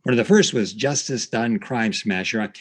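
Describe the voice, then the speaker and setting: A man hosting a podcast, telling stories from notes in a conversational, somewhat halting way. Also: medium pitch. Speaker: podcast host. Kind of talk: storytelling narration from notes, conversational.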